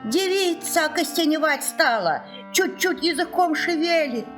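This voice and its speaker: Woman, fairy-tale narrator, expressive, slightly high, scripted storytelling, music clearly behind. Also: unhurried.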